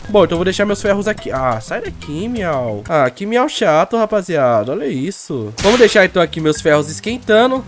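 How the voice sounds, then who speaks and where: A young man with a São Paulo Brazilian accent giving excited, slangy gameplay commentary as host of a gaming video. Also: high voice. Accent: São Paulo Brazilian Portuguese. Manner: informal, slangy, exclamatory. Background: music.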